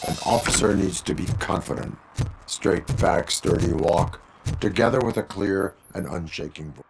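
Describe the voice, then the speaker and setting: Deep-voiced stoic cyborg cop. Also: male voice, vocal fry, noisy background. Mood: stern, instructive. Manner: formal, deadpan, measured instructing. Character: stoic male cyborg police officer mentoring a rookie. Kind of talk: video game voice acting.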